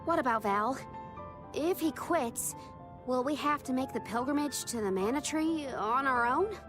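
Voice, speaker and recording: A woman voicing a brash, hot-tempered young adventurer in a video game, blunt and quick to scold. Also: sits high, lively intonation, music in the background. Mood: upset, worried. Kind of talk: video game cutscene acting.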